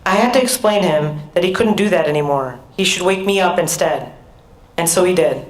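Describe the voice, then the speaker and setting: A middle-aged American woman giving an earnest, measured personal talk as a conference speaker, with small restarts. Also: fairly low pitch. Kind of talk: personal talk at a research summit. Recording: echoey hall.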